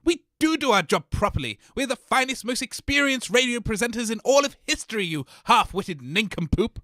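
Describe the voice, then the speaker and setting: Sarcastic, grumpy comedy host; lively, bright, dry close-mic read. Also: male voice, very high voice, fairly quick, dry recording. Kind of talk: scripted comedy sketch.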